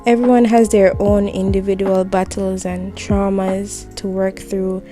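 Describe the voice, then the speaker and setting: A woman delivers a gentle, reassuring, intimate spoken monologue, speaking directly to the listener like a heartfelt voicemail. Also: mid-range voice, flat delivery, a little slow, faint music behind.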